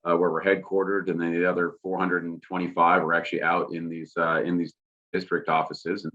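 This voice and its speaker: Man, very deep voice, monotone, bright tone, dull lo-fi call audio, video call, steady explaining with many fillers. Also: fairly quick. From Texas. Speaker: oil company representative. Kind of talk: webinar presentation.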